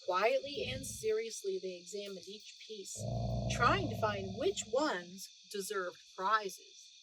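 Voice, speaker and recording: A woman narrating a children's storybook aloud in an expressive read-aloud style. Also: mid-range voice, takes it slowly, background noise. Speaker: storybook reader.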